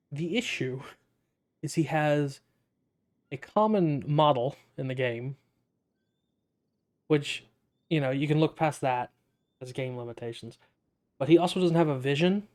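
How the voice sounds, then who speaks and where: A man's voice: on the high side, a little mellow. A man giving casual, sceptical commentary as he plays through a video game, with wry asides. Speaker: gaming streamer.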